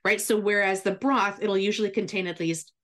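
A woman's voice: mid-range voice, fairly even intonation, fairly quick, a bit bright. A woman guest giving cooking advice in a chatty, instructive radio style, often checking in with "right?". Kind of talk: explanatory radio chat.

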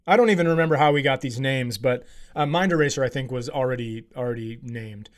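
A man's voice: mid-range voice, fast talker. A middle-aged man hosting a podcast, chatting casually in a joking, playfully teasing way. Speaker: podcast host. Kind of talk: casual comic chat.

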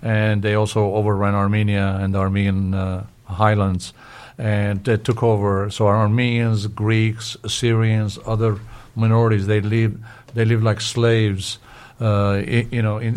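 An older man from Syria speaks as an interview guest, explaining history in a fairly low, very even voice. He moves haltingly, often repeating the start of a word while he searches for the next one.

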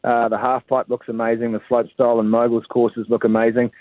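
A man's voice: mid-range voice, monotone, fairly quick, no room sound. New man on a tinny phone line. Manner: conversational, frequent "uh" fillers. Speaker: reporter on the phone. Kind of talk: live phone cross to a radio show. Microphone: telephone.

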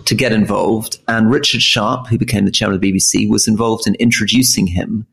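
A man co-hosting a political podcast, speaking in a measured, articulate way with enthusiastic reactions. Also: lowish voice, steady tone, gravelly, a bit bright. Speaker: podcast co-host. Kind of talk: casual political chat.

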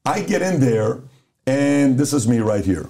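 Middle-aged man from Iran, relaxed warm voice, casual podcast guest who plays out both sides of a story. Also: mid-range voice, unhurried. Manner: animated storyteller who acts out dialogue.

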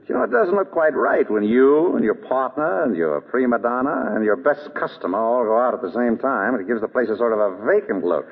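An actor playing a suave, witty, easygoing married detective with a dry urbane manner in an old radio drama. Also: male voice, mid-range voice, expressive, a little fast, hardly any pauses, slightly rough. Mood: dryly needling. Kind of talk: 1930s-40s radio drama acting. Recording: vintage radio, narrow tinny band.

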